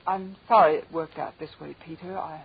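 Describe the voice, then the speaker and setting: A woman's voice: deep voice, fairly even intonation, fairly quick, vocal fry, breathy edge, full character voice. An actress playing an earnest, anxious wife who is leaving her husband, in old-time radio drama style. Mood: wary, subdued. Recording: dull, noisy old radio broadcast.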